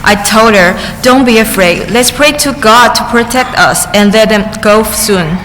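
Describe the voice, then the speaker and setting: A woman with a fairly high voice interprets testimony into English, stumbling and restarting as she goes. The long reverb of a large chamber surrounds her, over a faint background hum. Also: a bit bright. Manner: halting, with restarts and cut-off words. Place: large public hearing chamber. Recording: echoey hearing room with faint ambience.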